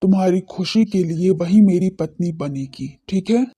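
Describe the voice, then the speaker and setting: Woman voicing a dark, low, serious young man. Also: a little slow, breathy edge. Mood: resigned. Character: serious, brooding young men in a romance serial. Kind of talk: audio drama character dialogue.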